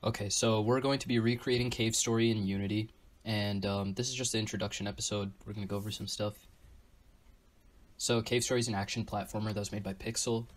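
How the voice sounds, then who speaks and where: Low-voiced man hosting a coding tutorial. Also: quick pace, faint music behind. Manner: relaxed, with frequent ums and restarts. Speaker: tutorial host. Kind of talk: casual screen-recorded coding tutorial.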